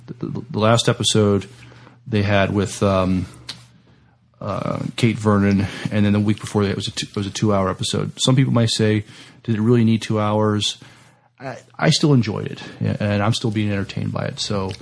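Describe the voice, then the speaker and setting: Low, creaky-voiced man, halting co-host. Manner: halting, stammering, tentative. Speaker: podcast co-host. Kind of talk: casual discussion, reading out entertainment news.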